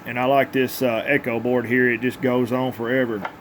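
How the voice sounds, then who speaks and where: A man's voice: mid-range voice, level tone, normal speed, over a noisy crowd. A man giving an informal, plainspoken workbench demonstration as a radio repair technician. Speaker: CB radio repair technician presenting his tune-up work.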